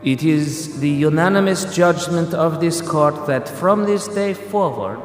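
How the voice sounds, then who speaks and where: An actor in a radio drama playing the solemn, accusing ghost of a murdered male camp prisoner, measured, formal and coldly ironic. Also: fairly high pitch, soft background music, natural voice. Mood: stern, condemning.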